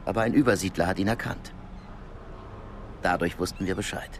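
Casting: calm, knowing secret-service contact, lowish voice, measured radio drama delivery over ambient noise. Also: male voice. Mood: guarded, matter-of-fact. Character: discreet male Cold War intelligence contact, calm and knowing. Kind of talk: naturalistic German radio drama acting.

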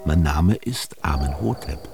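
An actor playing a solemn, brooding ancient Egyptian royal scribe in a radio drama, declaiming deliberately and theatrically. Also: male voice, a bass voice, lively intonation, gravelly, over loud music, heavily acted. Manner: deliberate, declaiming, theatrical, swinging between murmur and proclamation. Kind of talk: literary radio drama monologue.

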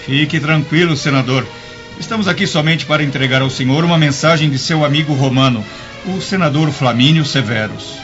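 A man's voice: on the high side, a little fast, natural voice. A Brazilian man playing a polite, reassuring messenger in a radio drama, speaking respectfully. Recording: muffled, loud music behind.

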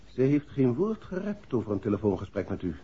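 An actor playing a polite, inquisitive police inspector in a 1960s radio play, speaking formally and measuredly. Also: male voice, medium pitch, very animated, fairly quick, slight background noise, lightly acted. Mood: probing, puzzled. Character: polite, probing male police inspector on a murder case. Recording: muffled 1960s radio recording.

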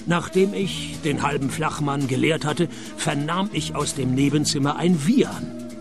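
Deadpan gravelly detective narrator, lively sardonic delivery, music underneath. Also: male voice, a little high, measured pace. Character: cynical male private detective, dry wit. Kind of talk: radio play narration.

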